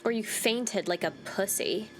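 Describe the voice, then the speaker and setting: A woman's voice: medium pitch, a little slow, slight background noise, poor audio. A woman giving casual, irreverent commentary as she hosts a game playthrough, with a teasing, wry manner. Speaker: gaming video host.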